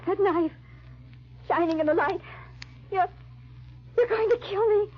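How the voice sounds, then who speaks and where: An actress playing a frightened, grieving noblewoman hunted by her husband, in halting, melodramatic old-time radio style. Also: very high-pitched. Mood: terrified. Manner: halting, fearful, melodramatic delivery. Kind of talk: old-time radio drama acting and narration. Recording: dull, band-limited old radio recording.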